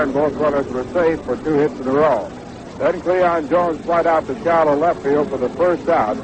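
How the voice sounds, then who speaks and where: A man's voice: on the high side, background noise. An American man recapping a baseball game in long run-on sentences on a 1960s radio broadcast.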